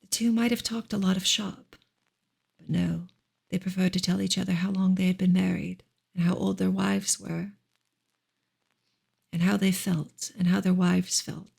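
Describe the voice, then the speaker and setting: A woman reading a novel aloud as soft ASMR, breathy and partly whispered, in a gentle, measured storytelling manner. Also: fairly low pitch, steady tone. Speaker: ASMR reader and channel host.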